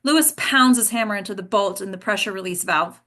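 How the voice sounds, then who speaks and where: A woman's voice: medium pitch, brisk, fairly bright tone. A woman leading an actors' table read, reading and chatting in an informal, encouraging way. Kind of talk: script reading and group discussion.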